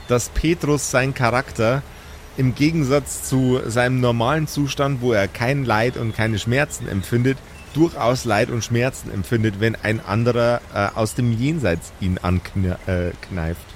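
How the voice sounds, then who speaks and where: A man's voice: mid-range voice, measured pace, a little hiss or hum. Wry man narrating as game master.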